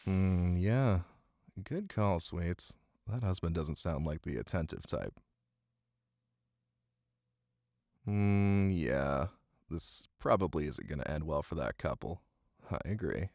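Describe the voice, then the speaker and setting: A man playing a caring, playful boyfriend who reads tenderly in an intimate ASMR style, with a deep, gravelly voice. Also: lively intonation, measured pace, darkish tone, no room sound. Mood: cozy, teasing. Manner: tender, teasing, close and cozy. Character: caring, playful young boyfriend reading to his partner. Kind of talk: intimate ASMR roleplay and bedtime story reading. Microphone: close microphone. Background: rain ambience.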